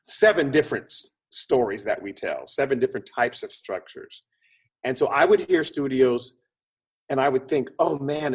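A man's voice: mid-range voice, breathy edge. A man speaking conversationally as an interview guest, explaining ideas like a storyteller and acting out quotes. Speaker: guest, film producer and script consultant.

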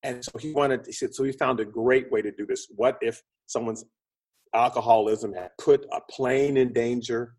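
A man's voice: medium pitch, fairly even intonation, normal speed, darkish tone. A man speaking conversationally as an interview guest, explaining ideas like a storyteller and acting out quotes. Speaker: guest, film producer and script consultant.

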